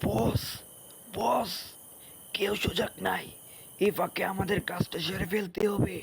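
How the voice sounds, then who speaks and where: A man's voice: high-pitched, fairly even intonation, unhurried, creaky, a crowd in the background. Whiny, cheeky robber sidekick, played by a man. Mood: sneaky. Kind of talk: comic cartoon dubbing in colloquial Bengali.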